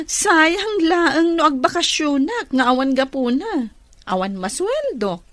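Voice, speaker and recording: A woman's voice: sits high, sing-song, slow pace, fairly bright tone, no room sound, studio quality, heavily acted. A woman with an Ilocano accent playing an envious, gossipy office employee in a radio drama.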